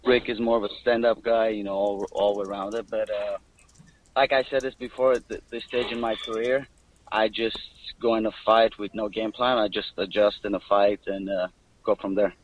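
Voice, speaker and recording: A man's voice: lowish voice, little pitch movement, normal speed, dull audio. A man who fights professionally, giving casual, blunt answers off the cuff on a media conference call.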